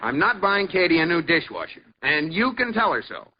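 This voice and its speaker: An actor playing a stubborn but good-natured husband in a 1940s radio comedy, with a sputtering, dry comic delivery. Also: male voice, fairly high pitch, sing-song, brisk. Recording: dull hissy old radio broadcast.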